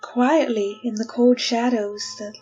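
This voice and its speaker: An actress playing a desperate, haunted woman prisoner condemned though innocent, telling her tale in theatrical, deliberate retro radio drama style. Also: fairly high pitch, steady tone, mellow, muffled recording, faint music behind, lightly acted. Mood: eerie, hushed.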